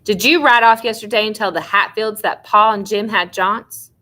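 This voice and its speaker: A woman's voice: mid-range voice, fairly even intonation, moderate pace, bright, forceful tone, pitched above the performer's own voice, lightly acted. A woman voicing a stern eldest brother from a rural family in a storybook, accusing and authoritative with folksy phrasing. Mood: confronting.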